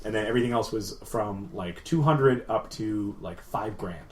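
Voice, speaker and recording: A man's voice: lowish voice, normal speed, a little hiss or hum. A man co-hosting a casual podcast, explaining games in a rambling, enthusiastic way full of fillers. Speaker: podcast co-host.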